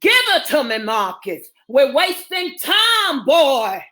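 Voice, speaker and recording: Male doctor for dramatic storytelling, read by a woman, commanding but gentle, unhurried. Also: fairly high pitch, animated. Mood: urgent. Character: urgent, commanding male doctor, soothing yet firm.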